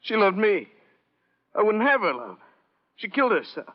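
A man's voice: high voice, very animated, moderate pace. An actor playing an anguished, guilt-ridden man in an overwrought, theatrical old radio melodrama style. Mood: hysterical anguish. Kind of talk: 1950s radio melodrama acting. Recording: dull old radio recording.

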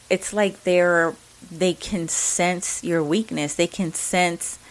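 A woman with a Mexican accent talking earnestly and casually, with many fillers and restarts. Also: medium pitch. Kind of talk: casual reflective talk.

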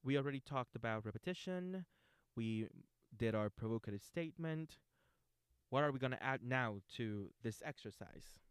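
Smooth-voiced man hosting an acting chat. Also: mid-range voice, normal speed, dry recording. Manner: relaxed, rambling with restarts. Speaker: host. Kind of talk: conversational acting talk.

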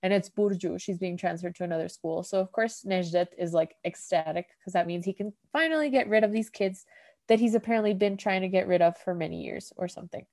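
A woman's voice: on the low side, brisk, darkish tone. A woman podcast host casually recapping a TV episode, rambling with lots of "like" fillers. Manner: rambling, heavy use of "like", laughs often.

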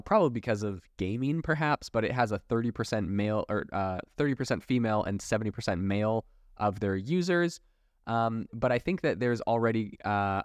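A man's voice: lowish voice, no echo, clean studio sound. A man hosting a solo tech podcast, giving informal news commentary peppered with "you know" and "like". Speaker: podcast host.

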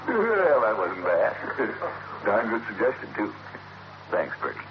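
An actor playing a pompous middle-aged uncle in an old radio sitcom, sputtering and muttering to himself. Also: male voice, lowish voice, wildly swooping pitch, unhurried. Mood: self-satisfied. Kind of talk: 1940s-50s radio sitcom acting. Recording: muffled, noisy vintage radio recording.

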